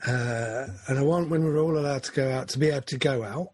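A man's voice: medium pitch, brisk, a little mellow, dry recording. A man, talking through his goals in a casual, rambling, self-correcting chat. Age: 40s.

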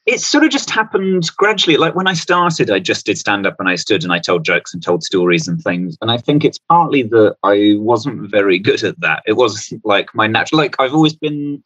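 A man's voice: on the low side, sing-song, normal speed, few pauses, a bit bright, dry recording, highs cut off. A man chatting casually as a comedian guest, rambling through anecdotes in a self-deprecating way.

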